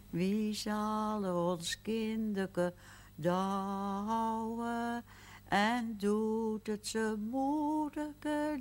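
An actress playing a feisty, witty very old aunt in a television period drama, teasing and cheeky. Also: female voice, mid-range voice. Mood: curious, wistful. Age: older.

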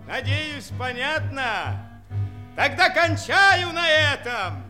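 An actor playing a boisterous fairy-tale tournament herald who declaims in verse in a theatrical, drawn-out way for a children's audio play. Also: male voice, very high-pitched, big pitch swings, pushed and bright, exaggerated voice. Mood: grandly proclaiming. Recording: 1960s studio play with music behind.

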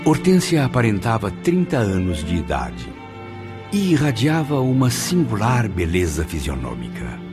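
A man narrating a spiritual radio play in a solemn, measured way. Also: on the low side, wildly swooping pitch, over music.

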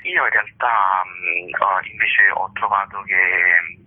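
A man's voice: low voice, steady tone, slow pace, breathy edge. A man giving reflective, intellectual interview answers as a theatre playwright and actor. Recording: narrow tinny phone line.

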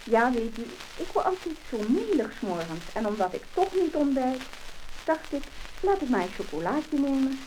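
A woman's voice: sits high, sing-song, moderate pace, dark tone. An actress playing a sheepish teenage schoolgirl with a Netherlands Dutch accent in a 1950s scripted drama. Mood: sheepish excuse. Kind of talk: 1950s scripted radio-style acting. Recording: crackly, hissing mid-century disc recording.

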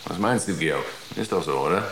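A man's voice: sits low, expressive, fairly quick, fairly bright tone, slight background noise. An actor playing a wry, matey spaceship crewman in a German radio drama, speaking casually. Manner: casual, short teasing remarks.

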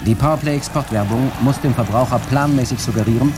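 An actor playing a coldly formal marketing analyst reading out a report in a radio drama. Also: male voice, medium pitch, fairly quick, noisy background, lightly acted. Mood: clinical. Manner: clinical, formal.

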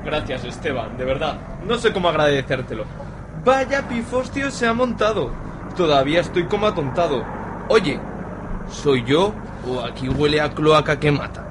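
Man acting a swooping, high-voiced doting grandpa. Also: animated, moderate pace, background noise, lightly acted. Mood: dazed, grateful. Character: doting, befuddled old grandfather. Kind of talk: amateur radio soap acting.

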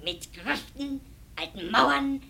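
A gleeful little squirrel showman from a radio play. The voice is high and piercingly bright with a creaky edge. It declaims with mock solemnity, like a barker selling horrors. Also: animated, slow pace, exaggerated voice. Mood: sardonic relish.

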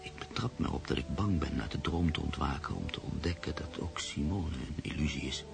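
A man's voice: rumbling low, a little fast, gravelly, over music, heavily acted. An actor playing a brooding, cynical man in love who narrates a radio drama. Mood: brooding, reflective.

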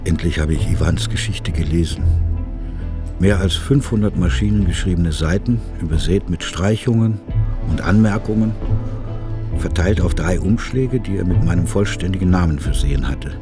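Man acting a sober friend and narrator, low voice, grave, measured, radio drama with clear music underneath. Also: lightly acted. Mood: somber, tense.

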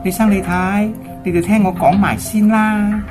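A woman playing a solemn Taoist master in a radio drama, lecturing with measured authority. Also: medium pitch, fairly even intonation, music in the background, natural voice. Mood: warning. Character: solemn, knowing Taoist master giving ritual advice. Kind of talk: Cantonese radio drama acting.

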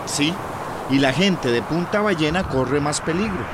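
A man's voice: expressive, measured pace. An actor playing a resolute, reassuring male rescuer in a radio soap. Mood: determined. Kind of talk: radio soap opera acting. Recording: outdoor background noise, engine-like rumble.

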